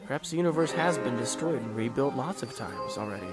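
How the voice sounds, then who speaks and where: A man's voice: medium pitch, lively intonation, fast, natural voice. Composed anime esper boy, voiced by a man, over music. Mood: calm, explanatory. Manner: smooth-talking, composed, explanatory, courteous. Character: calm, polite teenage esper boy explaining cosmic secrets. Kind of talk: amateur anime fan dub acting. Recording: loud music under the voice.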